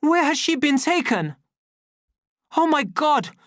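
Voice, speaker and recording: A woman doing a furious shouting young man. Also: high voice, very animated, takes it slowly, dry recording, studio quality, pitched above the performer's own voice, full character voice. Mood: urgent.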